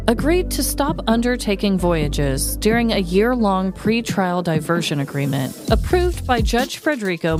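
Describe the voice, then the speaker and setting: Low-pitched woman storyteller over prominent music. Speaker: podcast host narrator. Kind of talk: scripted true-crime comedy storytelling.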